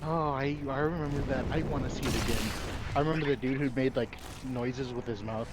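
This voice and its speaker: Casual male friend, jokey and teasing, average pitch, noisy line. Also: fairly quick. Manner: teasing, joking. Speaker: friend on the stream. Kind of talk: casual chat.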